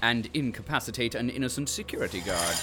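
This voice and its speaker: A man's voice: mid-range voice, lively intonation, fast, very noisy. A man giving wry, mock-grand scripted narration. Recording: loud music, voice off-mic.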